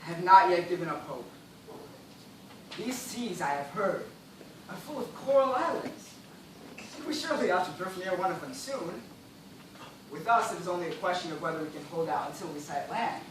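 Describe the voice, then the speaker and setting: An actor playing a weary, formal gentleman castaway in an amateur stage play, declaiming with stubborn reason and despair. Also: high voice, expressive, normal speed, pauses often. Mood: grim, reasoning. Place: theatre stage, recorded from audience. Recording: echoey stage, distant mic, noisy.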